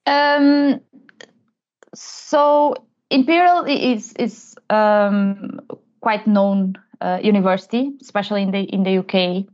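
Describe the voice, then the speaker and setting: A woman from Portugal, a native Portuguese speaker with a Portuguese accent, answering interview questions in a conversational, halting way. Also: medium pitch, a little mellow, lo-fi sound. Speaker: podcast guest, tech transfer professional.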